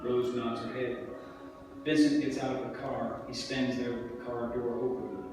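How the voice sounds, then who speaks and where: A man's voice: medium pitch, little pitch movement, moderate pace. A man narrating stage directions from a screenplay at a live table reading. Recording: echoey hall from far off, music behind.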